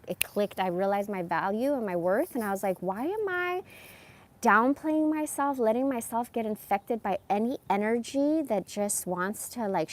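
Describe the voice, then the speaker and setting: Candid, slangy woman in her forties. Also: a little high. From born in Iran, moved to America as a young child. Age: 40s. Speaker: live streamer. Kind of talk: casual, rambling personal monologue.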